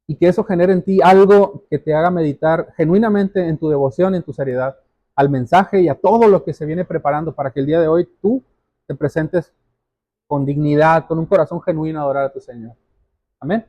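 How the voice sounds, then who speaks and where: A man's voice: a little high, brisk, no echo, highs cut off. A man preaching a sermon as a pastor, speaking earnestly and directly to his listeners and repeating phrases for emphasis. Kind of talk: sermon, exhortation.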